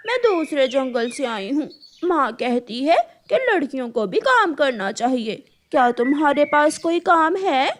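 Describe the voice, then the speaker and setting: A woman's voice: sits high, very animated, a little slow, hardly any pauses. A woman voicing a rude, sulky young female bird with a quarrelsome, whiny manner in a cartoon. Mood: earnest.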